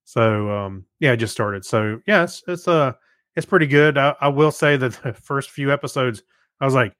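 Man, casual podcast co-host, halting phrasing, average pitch, dry close sound. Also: fairly bright tone, no room sound. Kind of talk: casual chat.

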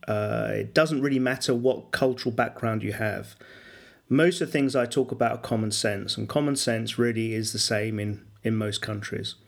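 A middle-aged man talks through a solo podcast episode in a mid-pitched voice. He explains things in a measured way, pausing on fillers and correcting himself as he goes. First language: English. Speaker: podcast host and business English coach.

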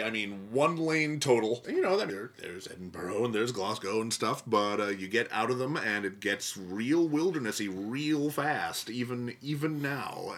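A man chatting casually about a TV show as a podcast panelist, telling stories in an animated way. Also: medium pitch.

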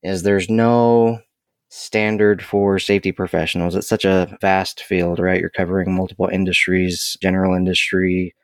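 A man answering interview questions about his work as a safety director, in a measured, reflective way. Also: deep voice, level tone, smooth, no room sound, clean studio sound. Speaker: podcast guest, safety director.

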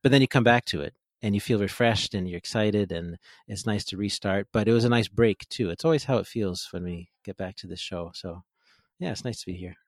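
Relaxed man hosting a podcast. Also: lowish voice, brisk, no echo. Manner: relaxed, friendly, with fillers like "you know". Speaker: podcast host. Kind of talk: casual interview.

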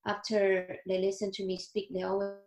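A woman's voice: mid-range voice, completely flat delivery, normal speed, darkish tone, echoey room, low-quality recording. A woman in her thirties from China with a Chinese accent, speaking as an interview guest over a video call, earnest and grateful, with small grammar slips. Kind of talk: interview, telling her life story.

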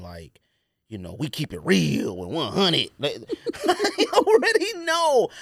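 Animated man ranting on a podcast. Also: on the low side, no echo, studio quality. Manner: emphatic, repeats phrases, slangy and blunt. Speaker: podcast host. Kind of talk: casual sneaker podcast chat, ranting.

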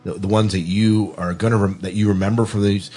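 A man's voice: lowish voice, little pitch movement, a little fast, dark tone. A man hosting a casual film discussion podcast, thinking aloud and often restarting his sentences. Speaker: podcast host.

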